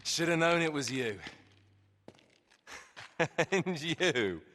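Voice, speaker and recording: A man's voice: on the high side, expressive, drawn-out words, fairly bright tone, in a large hall, full character voice. An actor playing a smug, taunting British treasure-hunter villain in a video game, mocking and swaggering as he talks. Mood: gloating. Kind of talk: cinematic video game acting.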